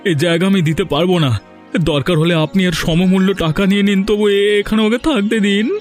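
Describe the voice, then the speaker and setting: A man's voice: sits high, fairly even intonation, quick pace, natural voice. A man voicing an earnest, plaintive village husband in a moral-story cartoon. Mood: protesting. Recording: music behind the voice.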